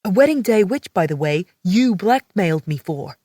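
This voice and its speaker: A woman's voice: lowish voice, moderate pace, a little mellow, no room sound, distant mic, close to an everyday voice. A woman voicing a brusque young man who is exasperated, with a curt, sarcastic way of talking.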